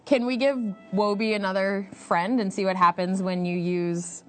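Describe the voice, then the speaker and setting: A woman's voice: medium pitch, fairly even intonation, normal speed, a bit bright. A woman hosting a live stream, cheerful and easily amused as she chats. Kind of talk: casual live chat.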